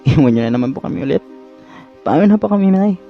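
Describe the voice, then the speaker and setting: A man's voice: high voice, animated, fast, gravelly, muffled recording, soft background music. A man playing an earnest, pleading young son with a Filipino accent in an amateur radio drama. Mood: hurt, pleading. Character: worried, pleading young working-student son. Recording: background soundtrack under the voice.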